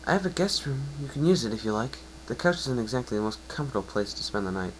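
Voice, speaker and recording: A man's voice: mid-range voice, animated, quick pace, a little hiss or hum, lightly acted, low-quality recording. A man voicing a kind, studious young female unicorn pony in an amateur story reading. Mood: helpful.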